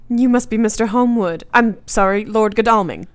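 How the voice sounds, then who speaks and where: A woman's voice: a little high, moderate pace, smooth, close to an everyday voice. A woman playing a polite, composed, inquisitive young wife in a radio play. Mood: courteous.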